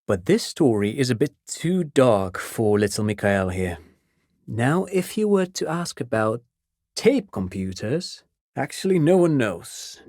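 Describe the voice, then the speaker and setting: Erudite, chatty amateur scholar, close and dry. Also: male voice, medium pitch, a little mellow, no echo. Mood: musing. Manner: erudite, digressive, hesitant, eager to explain. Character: chatty, pedantic male amateur scholar, absent-minded enthusiastic father. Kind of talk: video game character dialogue.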